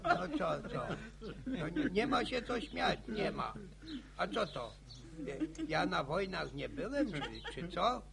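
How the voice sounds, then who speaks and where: An actor playing a talkative, boastful old grandfather, halting and repeating himself in a radio drama. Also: sits high, very animated, over a noisy crowd, heavily acted. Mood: indignant, boastful. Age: older. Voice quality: creaky, gravelly. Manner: halting, stammering, repeats words.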